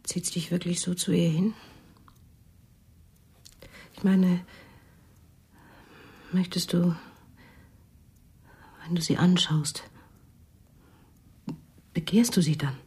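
Very husky, anxious wife. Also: female voice, on the low side, steady tone, slow, long pauses, darkish tone, exaggerated voice. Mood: hurt, probing. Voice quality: husky, whispery. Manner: hesitant, questioning. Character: anxious, probing wife, soft-spoken and suspicious. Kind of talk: radio drama acting.

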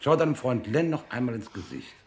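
An actor playing a sly, bullying military interrogator in a radio drama, mock-friendly and then sharply commanding. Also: male voice, mid-range voice, big pitch swings, fairly quick, a little hiss or hum, lightly acted. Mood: menacing, insistent.

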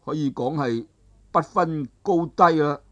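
A man's voice: a little high, measured pace, lightly acted. A male storyteller voicing a young monk who is earnest and polite, and speaks in a placating way.

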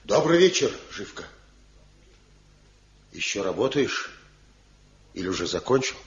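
An actor playing a mild village man gently urging mercy in a 1970s radio play. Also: fairly low pitch, very animated, unhurried, a little hiss or hum. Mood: gentle. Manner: mild, admonishing, persuasive. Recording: dull lo-fi old radio recording.

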